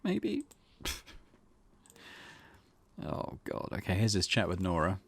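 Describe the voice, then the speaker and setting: A man's voice: sits low, huge pitch swings, slow, slightly rough. A man hosting a let's play, reading game text aloud and adding wry, amused asides.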